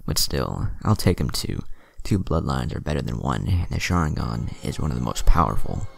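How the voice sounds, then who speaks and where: A man's voice: rumbling low, sing-song, normal speed, creaky, voice pushed down, exaggerated voice. A man voicing an arrogant adult enemy ninja who talks in a threatening way.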